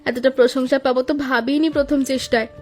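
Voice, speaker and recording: A woman's voice: high voice, steady tone, fast, soft background music, lightly acted. A woman playing a gracious young daughter-in-law, the elder sister in a cartoon story, earnest and polite. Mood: grateful.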